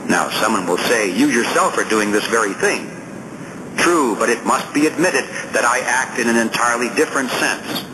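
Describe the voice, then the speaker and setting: A man reads a political essay aloud as an audiobook narrator, in a deliberate, emphatic, oratorical manner. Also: mid-range voice, expressive. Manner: deliberate, emphatic oratorical reading with rhetorical questions and lists. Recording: clearly noisy audiobook recording.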